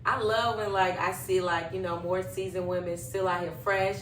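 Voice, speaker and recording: A woman's voice: on the low side, normal speed. Woman co-hosting casually in an echoey room. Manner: animated storyteller who acts out quoted dialogue. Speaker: podcast co-host. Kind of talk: casual chat. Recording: echoey room, voice a bit far from the mic, faint background noise.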